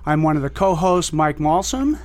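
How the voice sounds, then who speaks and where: A friendly man co-hosting a community radio arts show, his voice a touch high. He introduces his guest with easy ums and uhs and keeps the chat moving with warm little backchannels. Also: animated, moderate pace. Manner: friendly, with fillers and encouraging backchannels. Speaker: radio co-host.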